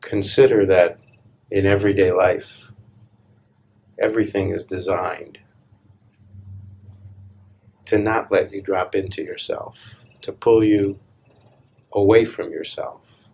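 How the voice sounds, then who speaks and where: A man leading a live guided meditation, speaking calmly and deliberately in short phrases with long pauses between them. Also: sits low, gravelly. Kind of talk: live guided meditation, slow hushed instruction.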